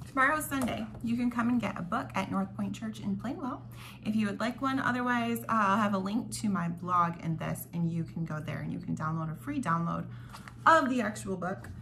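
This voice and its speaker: A woman's voice: medium pitch, animated, brisk, fairly bright tone. A woman hosting a devotional read-along, reading prepared reflections aloud with casual asides, recorded in a dining room at home. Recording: home recording with clear background noise.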